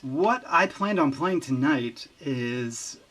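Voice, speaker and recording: Laid-back, halting male guest. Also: medium pitch, expressive, a bit bright. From Lehigh Valley, Pennsylvania. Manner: easygoing, halting, with many fillers and restarts. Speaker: recording engineer and musician guest. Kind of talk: casual interview chat.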